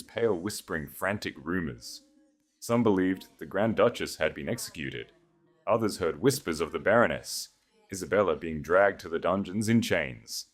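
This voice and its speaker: Deep-voiced man narrating a dramatic story.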